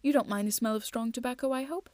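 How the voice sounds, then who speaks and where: A woman voicing an eager, proud gentleman detective in a storybook reading, brisk and self-assured. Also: a little high, no echo, lightly acted. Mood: cheerful, frank.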